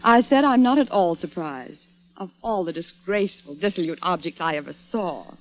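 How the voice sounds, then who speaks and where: A woman's voice: on the low side, animated, moderate pace, lightly acted, low-quality recording. An indignant, haughty young sweetheart, played by an actress in a vintage radio suspense play. She scolds her man in a theatrical old-time manner. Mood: scornful. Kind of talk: 1940s radio suspense acting. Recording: vintage radio drama recording.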